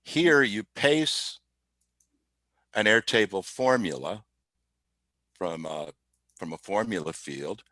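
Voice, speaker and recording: A man explaining software informally as an interview guest, methodical and somewhat halting in his delivery. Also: lowish voice, no room sound, studio quality. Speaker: podcast guest, IT specialist. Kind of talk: informal software demo talk.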